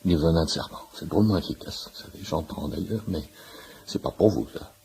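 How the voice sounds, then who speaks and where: Deep-voiced, overfamiliar chatty shopkeeper. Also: male voice, a little fast, gravelly, a little mellow. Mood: confiding. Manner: talkative, eager, overfamiliar, pedantic. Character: chatty, nosy shirt-shop owner, amateur homeopathy enthusiast in waiting room. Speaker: actor in a radio play. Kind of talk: naturalistic French radio comedy-drama acting.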